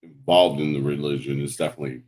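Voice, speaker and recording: A man's voice: extremely low pitch, fairly even intonation, fast, darkish tone, slight background noise. A man from Puerto Rico, raised in New York, talking casually and loosely, with many fillers and some swearing. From born in Puerto Rico, raised in New York. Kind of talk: casual conversation.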